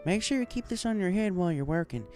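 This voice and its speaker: A man's voice: high voice, expressive, quick pace, a little mellow, soft background music, voice pushed up. A man voicing a hearty female farm pony who gives folksy, encouraging instruction in an audiobook story. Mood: friendly, bossy.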